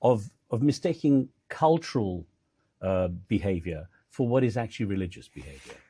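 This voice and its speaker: A male interviewer putting measured, challenging questions to his guest. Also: lowish voice, expressive, mellow, slightly rough.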